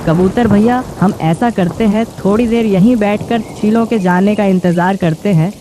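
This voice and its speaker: Actor doing a polite sensible little sparrow dad in a cartoon, measured, bit of rain noise. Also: mid-range voice, lightly acted. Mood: calm, practical. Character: sensible young father sparrow, polite companion. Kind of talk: Hindi bird cartoon voice acting.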